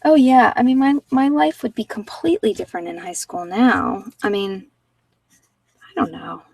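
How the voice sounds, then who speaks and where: A woman's voice: a little high, expressive, normal speed, vocal fry, a little mellow, dry recording, recorded from a distance. Casual woman reading tarot, slightly off-mic. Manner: informal, rambling, thinking aloud. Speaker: tarot reader and channel host.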